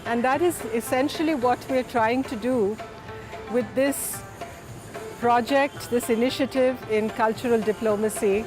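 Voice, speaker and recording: A middle-aged woman speaking in a measured, reflective way in a documentary interview. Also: on the high side, over music. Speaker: founder of the orchestra foundation.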